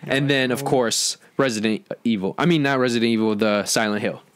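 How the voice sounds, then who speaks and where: A man's voice: medium pitch, brisk, smooth. A young man hosting a casual film discussion with friends, talking in an informal, slangy way. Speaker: podcast host.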